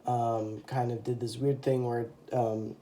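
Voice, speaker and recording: A man's voice: mid-range voice, little pitch movement, a little slow, mellow. A young man with a stuffy, congested voice from a cold, casually showing off a custom toy figure with lots of ums and self-corrections. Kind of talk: casual show-and-tell. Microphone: phone camera.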